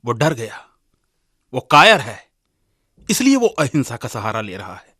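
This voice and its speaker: An actor playing a militant young student leader in a radio drama, sarcastic and combative. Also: male voice, mid-range voice, huge pitch swings, a little slow, sharp tone, husky, no echo, studio quality, heavily acted. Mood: scornful, proud.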